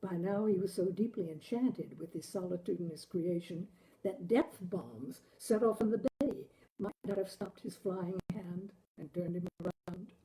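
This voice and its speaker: Female narrator for literary fiction, unhurried and expressive, with a very soft, dark, mellow tone. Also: lowish voice, poor audio. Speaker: story reader, show host. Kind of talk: literary audiobook-style story narration.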